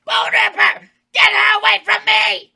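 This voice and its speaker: Killer clown, crude and skittish, in a high, gravelly, sharp voice. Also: male voice, monotone, measured pace, heavily acted. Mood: panicked screaming. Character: foul-mouthed killer clown, jumpy and crude. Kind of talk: table read of a comedy horror script.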